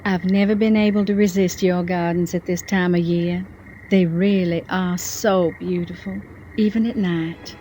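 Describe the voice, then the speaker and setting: A woman's voice: lowish voice, fairly even intonation, measured pace, mellow, a little hiss or hum, close to an everyday voice. A woman acting a poised, teasing, romantic mature character in a soap-opera audio drama. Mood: teasing, curious.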